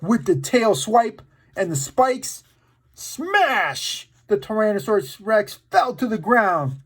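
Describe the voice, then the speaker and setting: A man's voice: high voice, big pitch swings, slow, breathy edge. A man hosting a children's story time, reading aloud in an enthusiastic, playful and dramatic way with casual asides to his young viewers.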